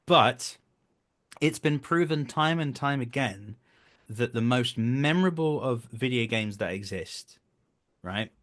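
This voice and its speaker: A man with a medium-pitched voice talks casually as a guest on a show. He is earnest and emphatic, often repeating a phrase to drive it home. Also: animated, slow pace, fairly bright tone. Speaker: guest, voice actor. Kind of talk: casual interview conversation.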